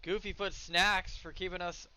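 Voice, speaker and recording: A man's voice: high voice, flat delivery, moderate pace, pushed and bright, clear, resonant tone, no room sound. A man giving chatty live commentary at a surf contest, calling the riders by name and laughing easily. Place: outdoor beach contest booth.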